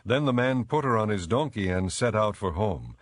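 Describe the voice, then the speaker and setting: A male narrator reads scripture in a measured, solemn storytelling manner. His voice is fairly low, and the delivery is that of a scripted audiobook. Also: expressive, no echo.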